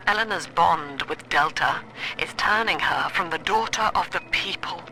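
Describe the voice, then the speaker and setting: Casting: cerebral middle-aged female cult leader, video game. Slow, measured, oratorical, gravelly edge. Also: lowish voice, sharp tone, slight background noise, rough recording. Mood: troubled. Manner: measured, solemn, precisely enunciated, oratorical. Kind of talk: dramatic video game monologue.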